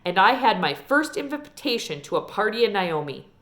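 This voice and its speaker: A woman reading a children's novel aloud in an expressive storytelling style. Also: mid-range voice, normal speed. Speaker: read-aloud reader.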